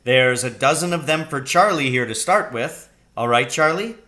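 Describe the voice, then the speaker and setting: A man's voice: medium pitch, animated, normal speed, pushed and bright. A man voicing a proud, confident poacher father who speaks in an assured, instructive way in a storybook reading. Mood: pleased.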